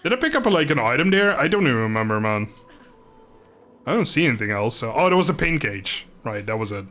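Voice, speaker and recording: A man livestreaming a video game, chatting informally and thinking aloud in a halting, casual way. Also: mid-range voice, very animated, a bit bright. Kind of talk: casual livestream chat over gameplay.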